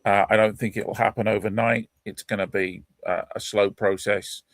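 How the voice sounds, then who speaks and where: A British man co-hosting a casual podcast, talking in a measured, slightly hesitant way. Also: lowish voice, little pitch movement, no room sound, studio quality. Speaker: podcast co-host.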